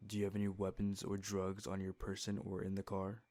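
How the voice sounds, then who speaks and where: A man playing a predatory, bullying police officer in a fan dub, speaking deliberately with menacing condescension. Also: deep voice, monotone, a little mellow, no echo, natural voice. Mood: cold, intimidating. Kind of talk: fan-dub dramatic acting.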